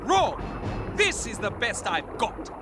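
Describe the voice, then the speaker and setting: Rowdy hooligan, high wild pitch, belligerent, indignant, video game, loud music behind. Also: male voice, wildly swooping pitch, slow pace, heavily acted. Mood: indignant, combative. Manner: indignant, shouting insults. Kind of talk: video game cutscene acting.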